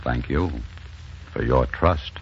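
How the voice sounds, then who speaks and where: A man's voice: a bass voice, slow pace, gravelly, heavy background noise, exaggerated voice. An actor playing a tough, ardent romantic hero who narrates his adventure in dramatic 1940s radio style. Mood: tender, low. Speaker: leading man and narrator. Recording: dull 1940s radio recording with music.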